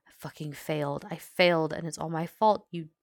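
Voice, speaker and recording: A woman voicing a gruff, hot-headed young man who swears forcefully and is wracked with guilt, in an audiobook-style reading. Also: low voice, flat delivery, a little fast, no room sound, full character voice. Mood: anguished, yelling.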